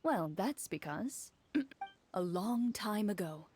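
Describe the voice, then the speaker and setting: A woman playing a calm, orderly young game master in a video game, explaining in a measured way. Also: mid-range voice, slight background noise. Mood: solemn storytelling.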